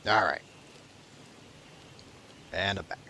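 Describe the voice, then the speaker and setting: A man's voice: low voice, slow, gravelly, pushed and bright, slight background noise. A man giving casual gameplay commentary in a rambling, wry, sometimes profane way. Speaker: gaming streamer.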